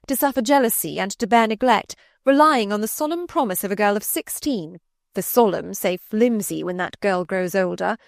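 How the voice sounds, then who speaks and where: A woman voicing an insistent, possessive gentleman suitor in a storybook reading, speaking formally and with emphasis. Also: medium pitch, no room sound, studio quality, close to an everyday voice. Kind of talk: dramatised audiobook reading.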